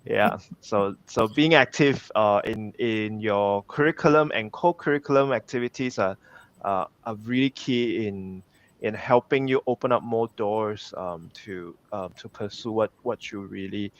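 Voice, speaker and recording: A man's voice: fairly low pitch, unhurried, muffled recording. A man hosting an interview, asking questions in a casual way with some hesitant fillers. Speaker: host.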